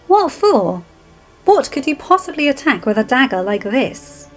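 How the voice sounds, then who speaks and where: A woman voicing a feisty, rebellious young highborn woman who argues sharply and defiantly in a raised, lifted voice. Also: very animated, unhurried, soft background music, exaggerated voice.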